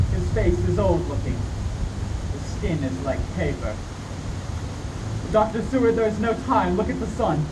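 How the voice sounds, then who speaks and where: A man's voice: fairly high pitch, lightly acted. Man playing an emphatic vampire-hunting professor, distant. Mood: urgent, commanding. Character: urgent, authoritative older male professor, vampire hunter. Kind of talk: dramatic narration in 1930s-style radio play. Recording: distant mic, loud background noise.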